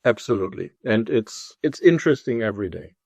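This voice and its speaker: A middle-aged man with a German accent, a guest on a podcast interview, explaining his career and views in a measured, storytelling way. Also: on the low side, animated, gravelly, darkish tone, slightly rough, no echo. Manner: measured, storytelling, speaks in full explanatory sentences. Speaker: guest, company executive and lecturer.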